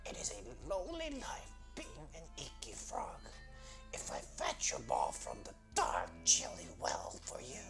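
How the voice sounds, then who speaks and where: A timid, lonely storybook frog who croaks in a scratchy half whisper. He sounds halting and plaintive, with music playing behind him. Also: on the high side, pitched above the performer's own voice, heavily acted. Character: timid, lonely icky male frog, earnestly bargaining. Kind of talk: storybook character voice.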